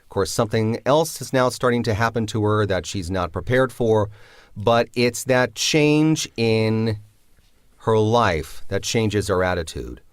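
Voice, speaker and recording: Man, very low voice, dry close sound, reflective, hesitant, interview answers. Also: measured pace, smooth, no room sound. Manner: reflective, hesitant, many fillers. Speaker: writer of the drama.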